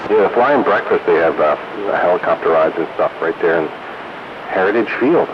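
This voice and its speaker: A man's voice: low-pitched, lively intonation, moderate pace. An American man chatting casually as a radio hobbyist over a two-way radio. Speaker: radio hobbyist in a group chat. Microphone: two-way radio, recorded from a receiver stream. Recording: hissy, muffled CB radio transmission.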